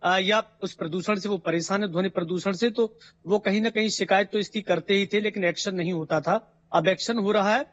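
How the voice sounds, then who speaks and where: High-voiced Indian male reporter, dull audio. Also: fairly even intonation, a little fast. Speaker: field news reporter. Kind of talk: unscripted live news report. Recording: dull lo-fi live link audio.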